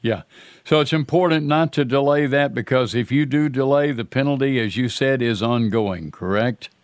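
A man's voice: medium pitch, normal speed, no echo, studio quality. An older man hosting a talk radio show, earnest and folksy in a conversational way.

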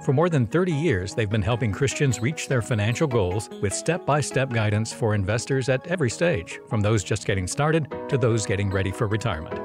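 A man's voice: mid-range voice, animated, a little fast, hardly any pauses, over music. A man reading a scripted sponsor message as an announcer. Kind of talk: scripted promotional narration.

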